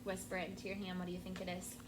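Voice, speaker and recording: A woman teacher reading a picture book aloud to her class, expressive and stopping to prompt the children. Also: on the low side, racing, heavy background noise.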